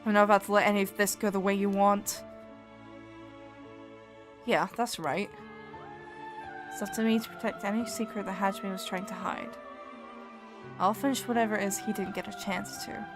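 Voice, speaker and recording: A woman's voice: medium pitch, steady tone, moderate pace, long pauses, close to an everyday voice. A woman with a mixed Scottish and Canadian accent voicing a tough young woman who was once in a gang, defiant and resolute, over game music.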